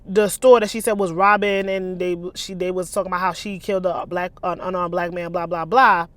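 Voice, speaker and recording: A woman's voice: medium pitch, a bit bright, no room sound. A woman author reading her own novel aloud, with sassy, incredulous, slangy commentary in a casual style.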